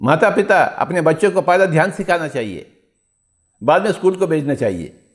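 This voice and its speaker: A man's voice: fairly high pitch, animated, normal speed. A man teaching meditation in an exhorting spiritual discourse, repeating his phrases and posing rhetorical questions.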